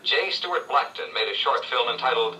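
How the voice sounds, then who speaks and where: A man reading scripted 1970s educational narration with measured, clear storytelling phrasing. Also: medium pitch, lively intonation, recorded from a distance, crowd noise, poor audio. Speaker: read-along narrator. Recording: old read-along played back from afar, faint noise.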